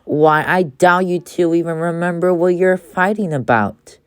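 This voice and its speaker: Woman as a stern, low-voiced mama bear. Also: unhurried, clean tone, dry recording, clean studio sound, lightly acted. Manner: firm, scolding. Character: stern, fed-up mother bear.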